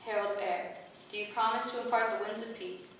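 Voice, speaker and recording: A woman's voice: medium pitch, fairly even intonation, fairly quick, fairly bright tone, close to an everyday voice. Echoey, distant stately goddess played by a woman. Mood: solemn. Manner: formal, stately, ceremonial oratory. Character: benevolent motherly female goddess, grand and solemn, gathering her heralds. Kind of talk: staged play reading. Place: echoey indoor venue at a staged reading. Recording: very echoey room from far off, faint noise.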